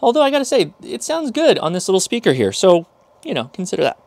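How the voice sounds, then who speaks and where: A man's voice: high-pitched, big pitch swings, fast, creaky, a little mellow, no echo. A man in his thirties giving a casual hands-on review as he demonstrates a device. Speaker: host, product reviewer. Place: small indoor workshop room.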